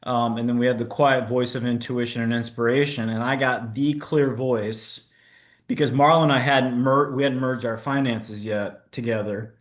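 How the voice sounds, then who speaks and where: A man's voice: mid-range voice, fairly even intonation, moderate pace. A middle-aged man, an entrepreneur, telling his own story candidly in a casual interview, poking fun at himself and stumbling into restarts now and then. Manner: candid, self-deprecating, with stammered restarts. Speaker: podcast guest, entrepreneur.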